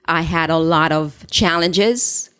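Low-voiced woman telling her story. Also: a bit bright, clean tone. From Haiti. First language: Haitian Creole. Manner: earnest, measured storytelling. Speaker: interview guest, business founder.

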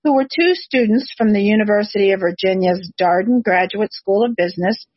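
A woman's voice: medium pitch, fairly quick, breathy edge, no echo. A woman hosting an interview podcast, moving between scripted introductions and questions for a guest. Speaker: podcast host. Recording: dull, lo-fi, slightly muffled audio.

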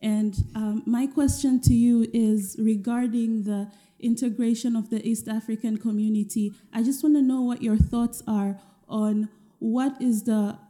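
A woman's voice: on the high side, steady tone, measured pace, warm tone. A woman in her early twenties from Tanzania, a student politely asking a question at a public forum. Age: early 20s.

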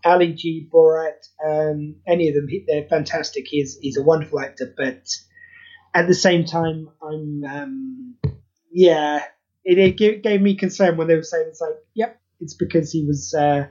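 A podcast guest in casual chat, animated, slipping into acted voices when quoting people and using many fillers. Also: mid-range voice, normal speed. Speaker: podcast guest, audio drama creator. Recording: slightly dull lo-fi audio.

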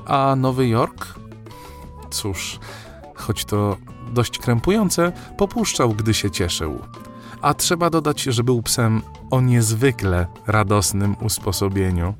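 A man narrating a scripted children's story in an expressive, wry way. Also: mid-range voice, slow. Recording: faint music behind narration.

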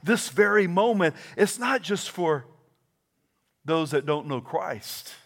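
A middle-aged Southern American preacher delivering a sermon. He drops into soft, hushed asides, then climbs into loud, shouted declarations. He tells stories and acts out what other people said. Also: fairly high pitch, slow pace. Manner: animated storytelling with quoted voices.

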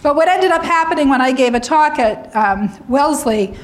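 Woman lecturer clearing her throat. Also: sits high, expressive, normal speed, rough recording. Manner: frequent throat clearing, wry asides. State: slightly hoarse, clearing throat. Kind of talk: lecture on academic freedom.

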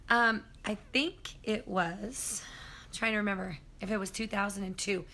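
A hoarse middle-aged woman telling a spooky story at her kitchen table, rambling with many ums and self-corrections, recorded on a phone. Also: mid-range voice, a little slow, bright, forceful tone. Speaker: guest storyteller. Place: apartment kitchen at home.

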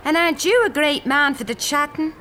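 High, bright, breezy Irishwoman. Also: animated, brisk, slight background noise. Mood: breezy, teasing. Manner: breezy, colloquial. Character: breezy, teasing female acquaintance passing by. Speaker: actor in an audio drama. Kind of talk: radio drama dialogue.

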